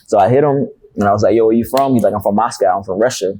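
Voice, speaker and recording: A young man talks fast and loose, full of street slang. His voice is low-pitched and husky, with a dark, mellow tone. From Miami, Florida. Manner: laid-back, slangy, loose storytelling with profanity. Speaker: rapper guest. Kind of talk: casual interview chat.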